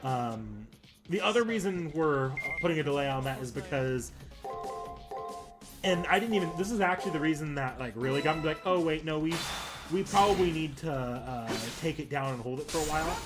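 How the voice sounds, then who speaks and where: A man giving casual gameplay commentary as a streamer, rambling and often halting to correct himself mid-sentence. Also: fairly high pitch, expressive. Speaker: game streamer and host. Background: music.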